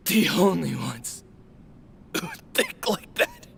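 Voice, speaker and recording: A man playing a gentle, earnest dying man in a video game, weak, strained and defiant. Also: sits high, huge pitch swings, slow, vocal fry, exaggerated voice. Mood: pained, defiant. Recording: music behind the voice.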